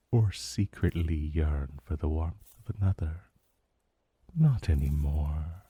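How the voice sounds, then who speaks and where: A man's voice: sits low, dark tone, breathy edge, no room sound, exaggerated voice. A man voicing an ancient dragon familiar in a romantic fantasy ASMR roleplay, possessive and silkily tender with a vague menace, murmuring formally and seductively. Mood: tender, confiding. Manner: slow, formal, seductive murmuring with lingering pauses.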